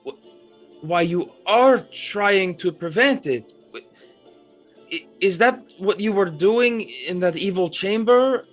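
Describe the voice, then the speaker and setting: Man playing a slow, stammering, pompous ballet master. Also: high-pitched. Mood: stunned. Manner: halting, stammering, pompous wording. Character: indignant, fussy male court ballet master. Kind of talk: theatrical audio drama acting.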